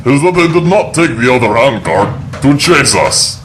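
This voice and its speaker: Playful, eager muscle of an adventure crew male actor, unhurried and animated, audio drama with clear background noise. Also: mid-range voice, close to an everyday voice. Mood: wry. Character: jolly, eager strongman of an adventurer team, punning.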